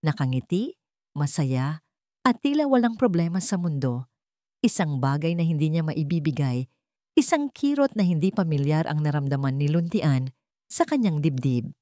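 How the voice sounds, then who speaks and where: A woman narrates a scripted dramatic story. Her voice is soft-edged and dark, sitting a little low, and recorded very dry. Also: very animated, moderate pace, no room sound.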